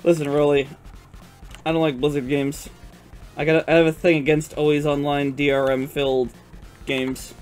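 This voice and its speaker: A man with a somewhat high voice and a noticeable stutter talks in loose, profane, rambling chat. He pokes fun at himself as he goes. Soft music plays quietly underneath. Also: flat delivery, normal speed, long pauses, clear, resonant tone. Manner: profane, self-deprecating, rambling. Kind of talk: casual chat on a live art stream.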